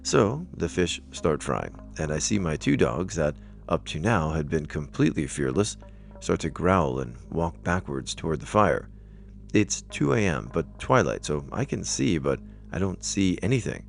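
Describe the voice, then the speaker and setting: A man's voice: low voice, very animated, moderate pace, creaky. A man narrating first-person scary stories from a script as a storyteller. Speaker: narrator reading online stories aloud.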